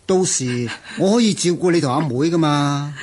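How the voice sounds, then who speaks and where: A man acting a responsible, protective young elder brother in a Cantonese radio drama, husky, with a Hong Kong accent. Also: on the high side, moderate pace, a little mellow, natural voice. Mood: earnest. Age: young adult.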